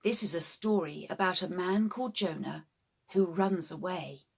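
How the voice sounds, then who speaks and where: A woman narrating a scripted children's bedtime story in simple, deliberate phrases for young listeners. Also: on the low side, vocal fry, husky.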